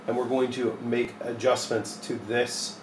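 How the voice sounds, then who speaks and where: A young man with a mid-pitched voice gives a practical, informal tutorial. He explains each step in plain words, like a technician at the bench. The small workshop sounds echoey, with noise in the background. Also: flat delivery, a little slow. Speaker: repair technician demonstrating a modification. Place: small cluttered electronics repair workshop, indoors.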